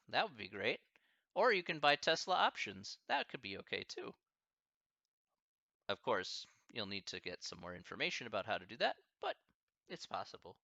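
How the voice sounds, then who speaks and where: A Midwestern American man talking casually to an online math class, rambling through his answers with plenty of fillers. His voice is bright and forceful, and his pitch moves a lot. The sound is dry and a bit dull, like a lo-fi computer mic. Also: no room sound. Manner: rambling, filler-heavy, thinking aloud. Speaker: math instructor.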